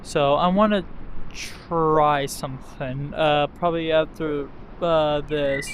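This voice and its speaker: A man hosts his own gaming video, speaking very slowly and leaning on filler sounds between his thoughts. He keeps turning to his viewers as guys. Clear noise from the game capture runs behind his voice. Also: a little high, fairly even intonation. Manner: slow, filler-heavy, addresses viewers as "guys". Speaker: video host. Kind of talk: casual gaming commentary to viewers.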